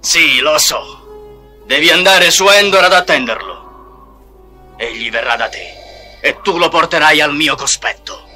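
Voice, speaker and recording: A man playing a sinister old emperor who gives slow, menacing commands. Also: fairly high pitch, lively intonation, bright, forceful tone, faint music behind, exaggerated voice.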